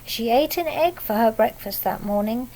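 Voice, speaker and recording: A woman reading a picture book aloud to young children, pausing to explain things simply and ask them questions. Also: fairly high pitch, animated, dark tone, poor audio. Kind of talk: read-aloud picture book storytelling with asides.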